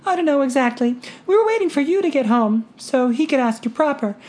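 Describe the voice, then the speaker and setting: A narrator doing a giddy young bride-to-be in an audiobook, high, airy voice that leaps all over with excitement, teasing and breathless. The delivery is bright and light. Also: brisk. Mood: excited. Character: bubbly engaged young woman, giddy and teasing. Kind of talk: audiobook character voice.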